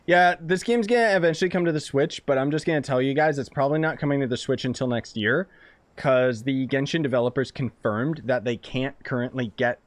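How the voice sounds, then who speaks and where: Chatty male game streamer, mid-pitched. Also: fairly quick, no echo. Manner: informal, chatty, explaining to viewers. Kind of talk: casual live-stream chat and game commentary. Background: game music.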